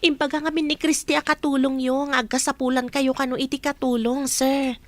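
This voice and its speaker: A woman's voice: high voice, level tone, a little fast, no room sound, natural voice. A woman playing a polite young woman from the province who is asking for work as a maid, often saying sir, in a radio comedy drama. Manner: deferential, says sir often.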